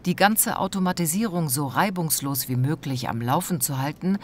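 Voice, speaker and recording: A man reading scripted documentary narration in a calm, measured way. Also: fairly high pitch, a little hiss or hum.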